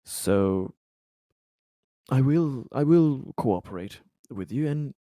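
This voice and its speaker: A man playing a cautious official in a tabletop roleplaying game, speaking formally and hesitantly as he deliberates. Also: mid-range voice, expressive, dark tone, no room sound, clean studio sound. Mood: cautiously cooperative. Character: cautious, deliberating male official negotiating with an agent. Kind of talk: improvised tabletop roleplay dialogue.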